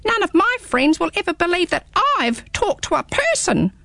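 A prim little storybook hedgehog, as a woman puts him on, talking in a high, lively squeak. The voice is chatty and fussy and swoops a lot. It sounds close and dry. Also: normal speed, no echo, exaggerated voice. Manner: talkative, prim, rambling. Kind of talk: storybook read-aloud.

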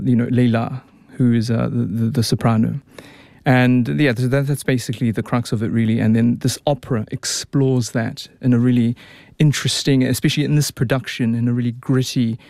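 A man's voice: medium pitch, a little mellow, dry recording. Young kiwi guy, opera singer, chatty and a bit rambly, dry close studio sound. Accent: New Zealand. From New Zealand. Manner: chatty, many restarts and fillers. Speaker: opera tenor, guest. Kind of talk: relaxed radio interview.

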